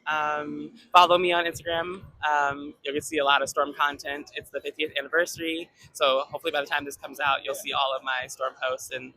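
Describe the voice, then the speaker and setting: Bright-voiced man with a flat, monotone delivery. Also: a little high, a little fast, few pauses, poor audio. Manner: easygoing, laughs between remarks. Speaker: cosplayer being interviewed. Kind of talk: casual interview chat. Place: busy convention hall.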